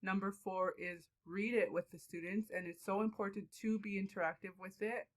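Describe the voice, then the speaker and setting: A woman's voice: mid-range voice, fairly even intonation, normal speed. A young woman giving an informal presentation as a student teacher.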